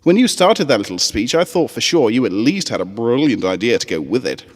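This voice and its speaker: A man's voice: mid-range voice, sing-song, brisk, long reverb tail. A man voicing a brash, teasing young tomboy filly, a daring pony child in a story reading.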